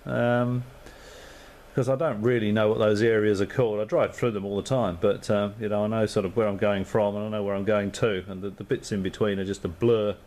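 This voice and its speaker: A man's voice: fairly low pitch, fairly even intonation, brisk, darkish tone. Easygoing Australian man on a ham radio. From Perth, Western Australia. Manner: easygoing, rambling, many fillers, signs off with call signs. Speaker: amateur radio operator. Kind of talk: casual radio chat. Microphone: radio transceiver microphone.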